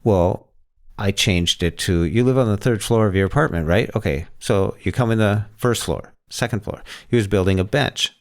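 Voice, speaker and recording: A man hosting a casual tech discussion, talking in a halting way and often rephrasing as he explains. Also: fairly low pitch. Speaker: podcast host.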